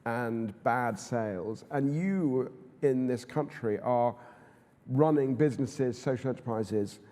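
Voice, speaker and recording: A man interviewing a guest onstage at a conference, who talks in halting, hesitant stretches full of fillers. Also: medium pitch, warm tone. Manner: hesitant, many fillers and restarts. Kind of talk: onstage conversation. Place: conference hall. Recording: reverberant hall.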